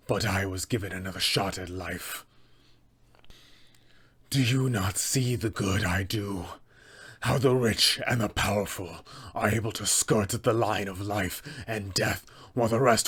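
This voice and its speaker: Tabletop villain, male performer: undead killer in trader's guise. Cold, calm menace, breathy and low, very slow, measured threats. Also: heavily acted. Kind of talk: villain monologue for a tabletop roleplaying game.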